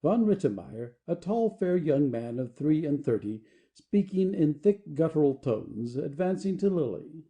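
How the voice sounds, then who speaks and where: A man reading the stage directions of an audio play as expressive scripted narration. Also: fairly high pitch, measured pace.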